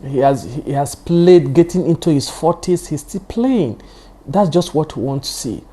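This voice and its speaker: Sex: man. language: Yoruba. Role: football pundit hosting his own show. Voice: mellow, warm. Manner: chatty, digressive, repeats his points. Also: a little high, animated, moderate pace. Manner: chatty and digressive, repeating points for emphasis, with frequent "you know". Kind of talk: casual solo football analysis.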